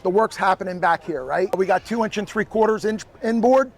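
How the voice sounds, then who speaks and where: A man's voice: high voice, brisk. A plain-spoken man giving an unscripted, matter-of-fact technical explanation as an expert guest. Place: outdoors at a convention.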